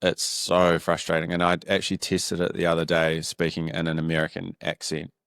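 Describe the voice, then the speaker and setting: Deep-voiced kiwi host guy, flat monotone delivery, dry close sound. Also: fairly quick, gravelly, smooth, no echo. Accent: New Zealand. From New Zealand. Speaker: podcast host. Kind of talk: interview questions, conversational.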